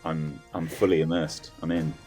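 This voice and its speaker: A man speaking as a guest on a comedy podcast, in casual, deadpan banter. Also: rumbling low, normal speed, soft background music.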